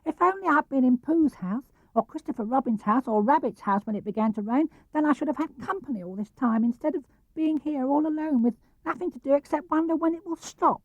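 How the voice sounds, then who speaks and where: A small, timid piglet in a storybook, speaking very high and fretful. The little creature sounds plaintive and anxious, on a slightly muffled old recording. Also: male voice, brisk, hardly any pauses, dry recording. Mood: anxious, forlorn. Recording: muffled old cassette or vinyl transfer.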